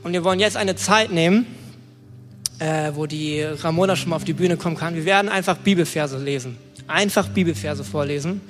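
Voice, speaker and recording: Young guy preaching casually, high voice, keeps hunting for words, music playing behind him. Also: a little fast, a bit bright. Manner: colloquial, hesitant, groping for words. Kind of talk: informal youth sermon with personal testimony. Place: large room, church service on stage. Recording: echoey hall, music behind.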